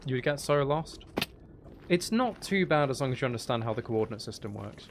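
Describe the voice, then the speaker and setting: A man's voice: mid-range voice, lively intonation, fairly quick, noisy background. A man giving casual gameplay commentary, muttering and thinking aloud to himself. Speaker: gaming video host.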